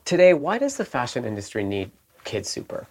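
A man's voice: mid-range voice, sing-song, fairly quick. Brief: male podcast host, Canadian accent, mid-range voice, upbeat and teasing. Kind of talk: podcast interview.

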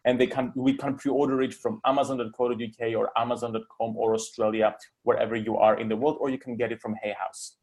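A man with a medium-pitched voice hosts a relaxed question-and-answer chat. He speaks informally and conversationally, moving between questions and comments to the audience. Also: fast talker, hardly any pauses. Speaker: interviewer, host of live Q&A. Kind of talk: casual interview chat.

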